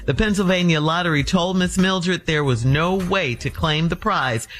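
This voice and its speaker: A woman's voice: fairly low pitch, normal speed, slight background noise. A woman co-hosting a morning radio show, reading items and bantering casually. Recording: music playing behind the voice. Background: background music.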